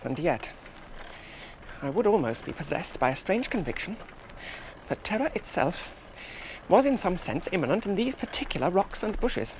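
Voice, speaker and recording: An actor playing an earnest, nervous young British army officer in a radio play, musing precisely and reassuring himself. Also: male voice, high voice, big pitch swings, normal speed, a little mellow, a little hiss or hum, poor audio. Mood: uneasy musing. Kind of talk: 1970s radio play monologue.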